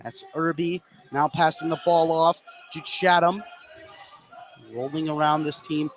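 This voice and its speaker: A man's voice: a little high, fairly bright tone. A man calling a basketball game live as a play-by-play radio commentator. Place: indoor school gym. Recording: crowd noise behind the voice. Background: gym crowd.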